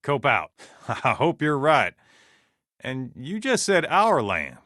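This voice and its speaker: An actor playing a gruff, stern survivalist camp leader in a video game, with an American accent and a folksy, blunt, commanding way of talking. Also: male voice, medium pitch, wildly swooping pitch, slow pace, bright, forceful tone, no room sound, studio quality, full character voice. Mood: grim, businesslike, then wry, grave. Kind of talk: video game character dialogue.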